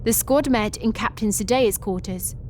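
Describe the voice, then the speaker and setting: A woman giving even, matter-of-fact scripted narration for an animated sci-fi story. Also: on the high side, moderate pace, a little hiss or hum. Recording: music bed under narration.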